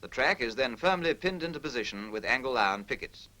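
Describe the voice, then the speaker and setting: A man's voice: mid-range voice, expressive, a little fast, pushed and bright, rough recording. A British man reading formal scripted narration in the manner of a 1940s military training film, precise, instructional and impersonal.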